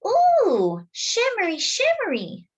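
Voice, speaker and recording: Woman doing an eager, high-pitched little storybook boy. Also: wildly swooping pitch, dull audio, full character voice. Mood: delighted wonder. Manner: wondering coos, drawn-out pleading. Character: eager, delighted little boy who loves sparkly things.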